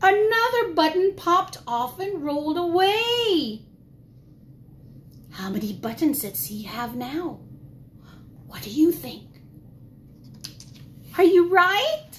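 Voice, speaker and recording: A woman preschool teacher reading a picture book aloud to young children in an exaggerated, expressive way, asking questions as she goes. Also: high voice. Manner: gasps and drops to whispers for suspense. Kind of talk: animated children's storytime read-aloud.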